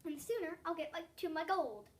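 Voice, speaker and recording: A little girl acts out a greedy, lonely storybook thief, urgent and demanding. The voice is high, and picked up from a little distance. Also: lively intonation, brisk, a little hiss or hum. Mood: impatient, anxious. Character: impatient, greedy thief, a shriveled lonely man.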